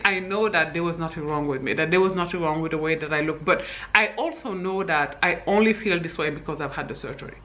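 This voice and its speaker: Young woman from Senegal, vlogger, chatty and candid, bright clear voice, mid pitch, indoor room. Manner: chatty, frequent fillers and laughs, animated hand gestures. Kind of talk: candid personal vlog.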